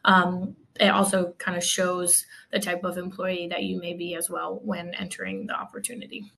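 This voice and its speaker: A woman's voice: on the low side, little pitch movement, moderate pace, gravelly, fairly bright tone. A woman speaking conversationally as a recruiter guest, offering practical advice.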